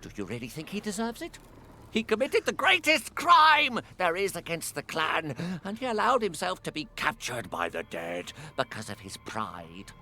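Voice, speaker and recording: A man's voice: on the high side, wildly swooping pitch, normal speed, vocal fry, sharp tone, a little hiss or hum, full character voice. A man playing an indignant, unforgiving clan warrior in a fantasy game, agitated and accusing.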